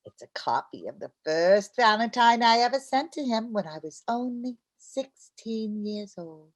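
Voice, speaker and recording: A woman playing an excitable, chatty, scheming housewife in an old-time radio comedy, with a theatrical manner. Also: fairly high pitch. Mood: brisk. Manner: theatrical, quick to jump to conclusions.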